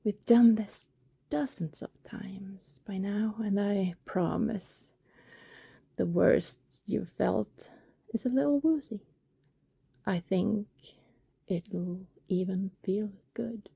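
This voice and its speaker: A woman performs an affectionate, apologetic vampire woman in an intimate ASMR roleplay. Her voice is very mellow and whispery, with fry at the edges. She confides softly and hesitantly in a close, dry recording. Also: medium pitch, no echo, exaggerated voice. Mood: soothing, reassuring. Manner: soft, breathy, whispery, hesitant confiding delivery. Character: affectionate female vampire neighbor, guilty, tender and reassuring.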